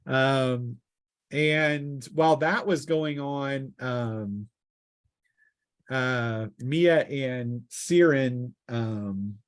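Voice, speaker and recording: A man narrates a tabletop fantasy game as game master, in a mid-pitched voice. He speaks slowly and haltingly, searching for words as he improvises.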